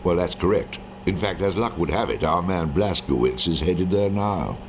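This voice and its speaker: Male actor as senior military chief, very low voice, authoritative, measured, questioning, game cutscene, background noise. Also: natural voice. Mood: deliberate. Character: commanding senior wartime intelligence chief, measured and deliberate. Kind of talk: scripted military briefing in a video game.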